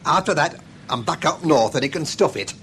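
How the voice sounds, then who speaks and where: A man's voice: fairly high pitch, lively intonation, fairly quick, noisy background. A man playing a stubborn, grumbling northerner in a British radio drama, with a blunt Northern English accent. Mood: puzzled, stubborn.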